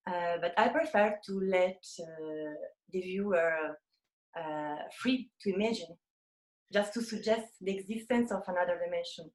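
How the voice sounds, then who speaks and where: A woman from Italy with an Italian accent, a photographer talking in an interview and pausing often to find her words. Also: lowish voice, echoey room, low-quality recording. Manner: hesitant, searching for words with many fillers. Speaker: photographer, guest.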